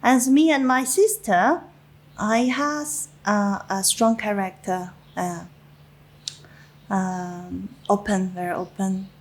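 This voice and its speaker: Slow-spoken woman with a Chinese accent. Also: mid-range voice. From China. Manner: halting non-native English with frequent fillers and soft laughs. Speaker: interviewee, winemaker. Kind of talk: personal reminiscence in an interview.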